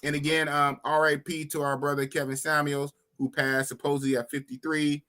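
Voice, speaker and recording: Animated man in his fifties hosting a podcast. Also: fairly high pitch, brisk. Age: 50s. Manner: animated, talks to chat, repeats phrases. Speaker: podcast host. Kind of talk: casual live-stream chat.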